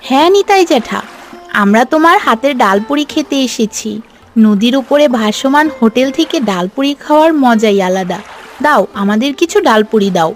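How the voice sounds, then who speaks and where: Woman doing an eager high-pitched little child. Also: animated, normal speed, soft background music, lightly acted. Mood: cheerful, eager. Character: eager little village child, cheerful and hungry. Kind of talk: Bengali cartoon voice acting.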